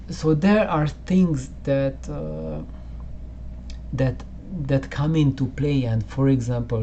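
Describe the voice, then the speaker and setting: Male hobby host; unhurried, warm-toned, stop-start delivery, talking casually to camera from his own room. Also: mid-range voice, slight background noise. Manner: halting, with many repeated words and restarts. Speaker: audio channel host. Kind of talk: casual explanatory talk to camera. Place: home listening room with poor acoustics.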